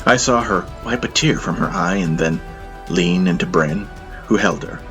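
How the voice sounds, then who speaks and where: A man narrating a fantasy audiobook in the first person, with solemn, dramatic storytelling and a husky voice. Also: low voice, normal speed, music in the background.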